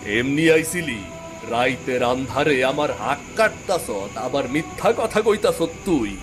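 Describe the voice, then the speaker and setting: A man performs a poor, hardworking village farmer full of righteous indignation in a cartoon tale. His mid-range voice is emphatic and slow, in a rural Bangladeshi Bengali dialect, over clearly audible music. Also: mid-range voice, animated, lightly acted. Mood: indignant. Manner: accusing, emphatic. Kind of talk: cartoon moral story acting.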